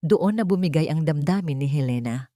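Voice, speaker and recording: A woman tells a dramatic story from a script. Her voice sits low and has a dark, mellow tone. The sound is dry, with no room around it. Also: expressive, fairly quick, no room sound.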